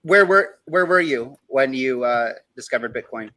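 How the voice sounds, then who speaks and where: Male show host, mid pitch, casual, rambling questions full of fillers. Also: lively intonation, normal speed, bright, forceful tone. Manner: rambling, lots of fillers and restarts. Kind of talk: casual interview talk.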